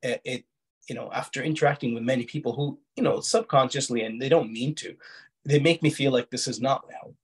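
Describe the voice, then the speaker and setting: A man's voice: mid-range voice, brisk. A middle-aged man with a slight foreign accent giving reflective interview answers over a video call, explaining himself hesitantly and often rephrasing. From Egypt, Kuwait and Canada. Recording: husky-sounding video call audio.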